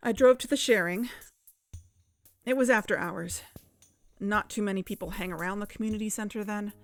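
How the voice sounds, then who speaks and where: A woman's voice: medium pitch, normal speed, dry recording, lightly acted. A woman playing a determined investigator in a fiction podcast drama, earnest and insistent. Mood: uneasy, reflective. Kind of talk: fiction podcast drama, confessional narration and dialogue. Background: music.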